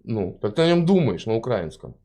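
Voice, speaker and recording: A man's voice: fairly low pitch, big pitch swings, brisk, mellow. A man hosting a confrontational video chat, mocking and interrogating his guest and cutting in often. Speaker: stream host.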